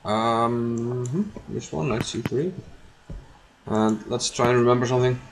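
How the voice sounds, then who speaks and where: A man's voice: mid-range voice, steady tone, a little slow, background noise. A man with an Icelandic accent giving casual live commentary as he streams a game, muttering and repeating his own phrases, thinking aloud. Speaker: chess streamer.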